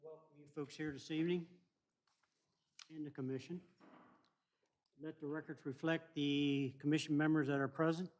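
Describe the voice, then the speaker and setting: A man's voice: on the high side, fairly even intonation, normal speed, pauses often. A man chairing a town planning commission meeting in a formal, procedural way. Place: council meeting room.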